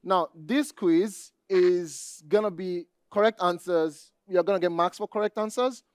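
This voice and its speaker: A man with a Nigerian accent giving a conference presentation, speaking deliberately and addressing the audience directly. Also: high-pitched, clean tone, no echo, studio quality. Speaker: conference speaker, database administrator.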